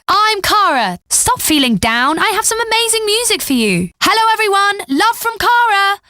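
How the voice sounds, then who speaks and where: A young female pop station DJ with a very high, brightly projected voice. She is perky and sardonic, delivering satirical game radio patter in a breezy, swooping way and dropping in deadpan asides. The sound is close and dry. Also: dry recording, full character voice. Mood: playful, needy, then cheery, sardonic. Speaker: radio DJ host.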